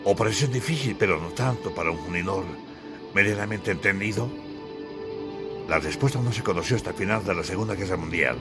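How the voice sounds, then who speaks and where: Audiobook narrator: male, low register, solemn declamatory storytelling, with a clear music bed. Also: a little fast. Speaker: author narrating his own audiobook.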